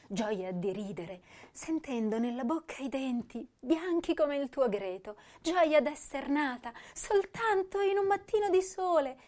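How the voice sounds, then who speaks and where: An actress playing an intense, sensitive young woman poet, declaiming verse in a measured, lyrical way for a radio drama. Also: high-pitched, darkish tone. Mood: joyful. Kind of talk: dramatic poetry recital in a stage-style radio drama.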